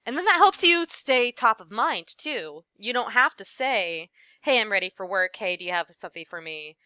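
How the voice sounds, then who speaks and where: A woman voice actress, a guest in a casual interview, giving thoughtful advice and often trailing off softly at the ends of her points. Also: mid-range voice, big pitch swings, normal speed, clear, resonant tone, no echo.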